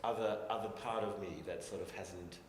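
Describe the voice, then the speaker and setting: A man's voice: fairly low pitch, steady tone, moderate pace, slight background noise. A man speaking as a scientist on a panel, reflective and hesitant, with many restarts. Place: large echoey hall. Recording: echoey hall with long reverb, faint ambience.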